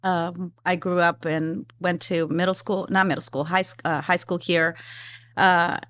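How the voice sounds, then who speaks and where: A woman's voice: fairly low pitch, level tone, unhurried, fairly bright tone, no room sound. A woman in her forties from Ecuador, a guest in a casual interview, chatting with frequent fillers and easy laughter. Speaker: guest, philanthropy executive.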